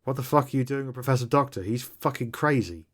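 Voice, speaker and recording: A man voicing a gruff male mutant gun runner who talks bluntly, swears a lot and rambles in a tabletop game. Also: mid-range voice, quick pace, lightly acted. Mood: exasperated.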